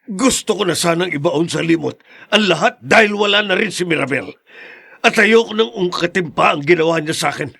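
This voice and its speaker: Man acting as middle-aged father, weary, gravelly, husky, grave, insistent. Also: high voice, a little fast, pushed and bright, heavily acted. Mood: angry. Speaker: father figure named Mariano. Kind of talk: radio-style drama acting.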